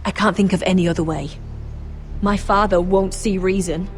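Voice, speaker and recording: Over some music, a woman plays a young fantasy game noblewoman with a medium-pitched voice. She is proud and determined, intense and pleading in her delivery. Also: steady tone, moderate pace, lightly acted. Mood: angry, defiant. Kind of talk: video game dramatic acting.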